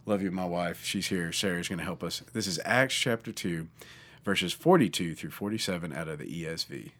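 A man with a fairly low voice, his throat scratchy from allergies. He teaches casually on a podcast, talking loosely and often backing up to restart a phrase. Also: moderate pace, a bit bright. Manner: conversational, with frequent restarts and fillers. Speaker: pastor and podcast host.

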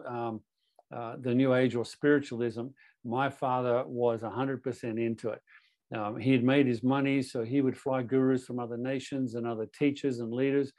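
A Canadian-born man with an Australian accent, sharing his personal testimony as a guest speaker in an earnest, halting way with many restarts. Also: medium pitch, a little mellow.

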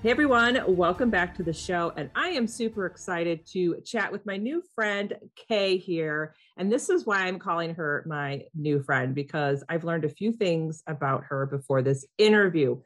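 A woman's voice: fairly low pitch, normal speed, hardly any pauses. A woman hosting a podcast, chatting in an upbeat way that is full of "like". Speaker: podcast host. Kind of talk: podcast interview.

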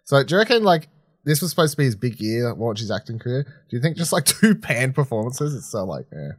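A man's voice: mid-range voice, big pitch swings, fast, dry recording, clean studio sound. A man co-hosting a podcast, chatting casually in a wry, joking manner. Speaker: podcast co-host. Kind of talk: casual chat.

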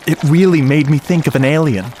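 A man playing a shy, earnest, nerdy sound-effects man, telling his story conversationally and haltingly in a radio drama, with a wistful manner. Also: fairly high pitch, darkish tone, slight background noise, close to an everyday voice. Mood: wistful, smitten. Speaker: narrator and lead character. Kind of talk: first-person radio drama monologue. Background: music and sound effects.

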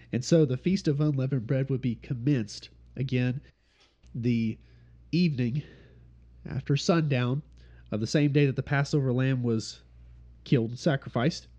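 A man with a slightly high voice teaches an informal study group, explaining patiently and circling back to his main points. His nose is stuffed up from congestion. The recording is dull and lo-fi. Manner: steady explanatory teaching, pausing to repeat points.

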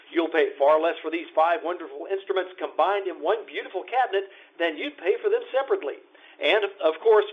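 Man as a high-pitched vintage radio pitchman. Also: phone-quality sound. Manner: polished, persuasive. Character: folksy, persuasive male radio advertisement pitchman. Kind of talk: 1950s radio commercial. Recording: thin, band-limited old radio sound.

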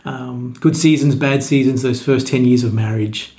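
A man's voice: mid-range voice, fairly even intonation, moderate pace, vocal fry, mellow. A man, a pastor chatting as a podcast guest in a friendly, easygoing way. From Australia. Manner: friendly, easygoing, with frequent restarts. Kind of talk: casual interview.

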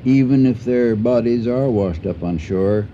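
An actor playing a calm, shrewd elderly farmer, speaking in a measured, probing way in a radio drama. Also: male voice, medium pitch, lightly acted. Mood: wary, probing. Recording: dull lo-fi radio recording, faint ambient noise.

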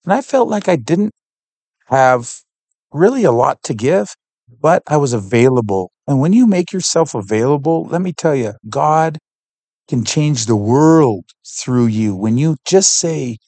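A man from Canada, a podcast guest giving an earnest, heartfelt faith testimony and pausing to search for words. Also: medium pitch, animated, mellow, no echo.

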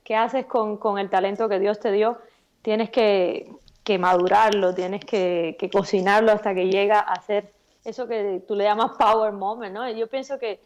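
Cuban woman musician, warm searching speech. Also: mid-range voice, fairly even intonation, a little slow. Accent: Cuban Spanish. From Cuba. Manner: thoughtful, repeats words while searching for them, laughs easily. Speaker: singer-songwriter guest. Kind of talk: relaxed interview chat.

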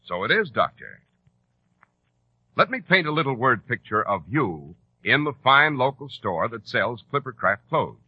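A man's voice: medium pitch, big pitch swings, measured pace, breathy edge, no room sound. An American man hosting and announcing in 1940s radio style, polished, emphatic and salesmanlike. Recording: muffled old radio broadcast.

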